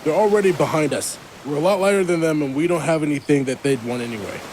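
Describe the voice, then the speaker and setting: A man's voice: fairly high pitch, quick pace, a little mellow, close to an everyday voice. A man acting in an audio drama as a matter-of-fact seafarer with a dry sense of irony. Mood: alert. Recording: light wave noise behind.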